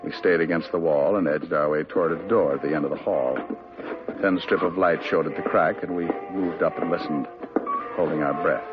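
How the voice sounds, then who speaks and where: Hard-boiled radio detective narrator. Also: male voice, low-pitched, a little fast. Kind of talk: 1950s radio drama narration. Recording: muffled old radio broadcast with music.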